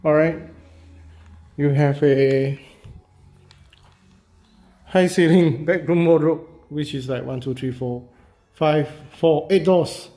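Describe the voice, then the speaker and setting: A man, a real estate agent walking through a property and talking casually, halting and repeating himself with many fillers as he goes. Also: on the high side, fairly even intonation, dark tone. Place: walking through a house and yard, in and out of doors.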